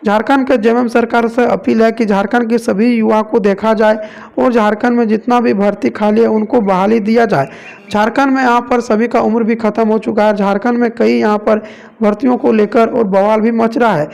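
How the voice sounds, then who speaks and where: A man's voice: sits high, fairly even intonation, moderate pace, creaky, a little mellow. A man from India narrating news reports as a presenter, leaning on repeated stock phrases.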